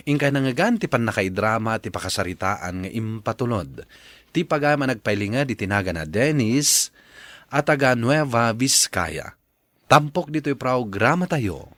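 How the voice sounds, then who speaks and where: A man's voice: lowish voice, a bit bright, no echo. A man announcing and narrating a radio drama program in a formal, theatrical scripted style.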